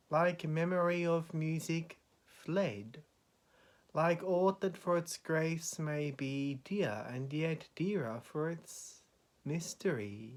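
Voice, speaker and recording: Male poetry reader, grave and dramatic, extremely unhurried, measured phrasing with weighty pauses. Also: a little high. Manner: solemn, measured delivery with deliberate pauses.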